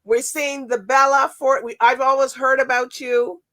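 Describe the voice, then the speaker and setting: A woman hosting a casual talk show, bubbly and rambling, quick to laugh. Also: high voice, level tone, a bit bright, no echo. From Canada, with Barbadian parents. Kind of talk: casual interview chat.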